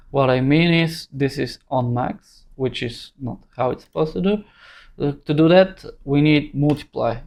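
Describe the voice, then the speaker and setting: Slow, halting male tutorial instructor. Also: medium pitch, a little mellow. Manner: halting, thinking aloud in short phrases. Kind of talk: unscripted screen-recorded tutorial narration.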